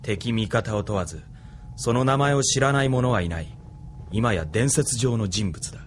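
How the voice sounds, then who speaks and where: An actor playing a smug, controlled military officer who speaks with mocking politeness in a Japanese audio drama. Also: male voice, lowish voice, animated, moderate pace, slight background noise, natural voice. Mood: smug, composed.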